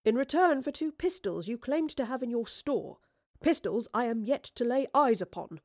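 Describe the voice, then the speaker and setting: A woman's voice: a little high, lively intonation, normal speed, darkish tone, no room sound, clean studio sound, voice pushed up. A woman voicing an indignant, stubborn merchant who protests as a male debtor in an audiobook. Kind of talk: audiobook character acting.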